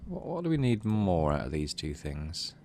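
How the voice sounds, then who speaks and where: A man's voice: deep voice, big pitch swings, moderate pace, darkish tone. A man doing casual game commentary, thinking aloud in a hesitant, rambling way. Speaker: video game let's player.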